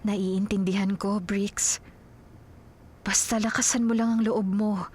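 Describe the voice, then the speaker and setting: A woman's voice: mid-range voice, little pitch movement, takes it slowly, a little mellow, breathy edge. An actress with a Filipino accent playing a devoted, self-sacrificing young woman in love in a radio drama. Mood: gentle, reassuring.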